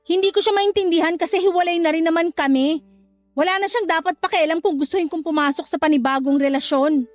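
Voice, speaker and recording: A woman's voice: very high voice, quick pace, dry recording, faint music behind. An actress playing a feisty, exasperated young single mother in a radio drama, sassy and outspoken. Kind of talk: Tagalog radio drama acting.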